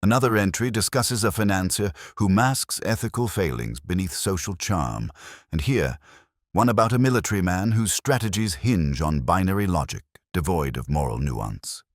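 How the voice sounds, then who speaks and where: A man's voice: low-pitched, sing-song, normal speed, no room sound, studio quality. A man voicing an earnest, analytical Victorian doctor who explains things studiously in an audiobook story. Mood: studious, concerned. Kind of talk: audiobook character dialogue.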